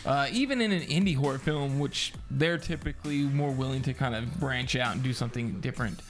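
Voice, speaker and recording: A man's voice: mid-range voice, fairly quick, music in the background. A man co-hosting a film review as a casual chat, with informal, slangy phrasing.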